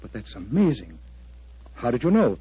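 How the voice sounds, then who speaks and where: A solemn, agitated clergyman, with a husky voice and halting, formal intensity. It is 1940s radio acting, heard dry and muffled. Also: mid-range voice, huge pitch swings, mellow, dry recording, full character voice. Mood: troubled. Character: agitated, earnest male clergyman, solemn and troubled.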